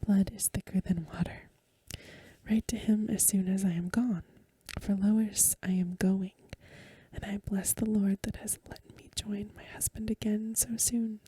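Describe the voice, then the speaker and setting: A woman reading a Victorian story for ASMR in a hushed, gentle, breathy whisper, unhurried and close to the microphone. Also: mid-range voice, fairly even intonation. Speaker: ASMR reader.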